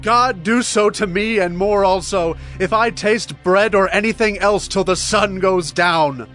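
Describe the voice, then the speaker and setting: A man's voice: sits high, measured pace, a bit bright. An actor playing a grieving, righteous warrior king in dramatized scripture, declaiming with solemn emphasis. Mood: grave, resolute. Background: music.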